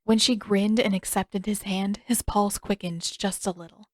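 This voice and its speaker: A woman's voice: medium pitch, fairly even intonation, normal speed, no echo, studio quality. A woman reading scripted fiction aloud as an audiobook narrator.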